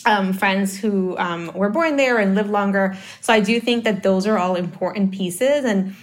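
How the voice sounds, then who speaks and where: A woman's voice: medium pitch, moderate pace. A woman from the Dominican Republic talking about marketing in a casual conversation, thinking aloud with many fillers and restarts. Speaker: marketing guest.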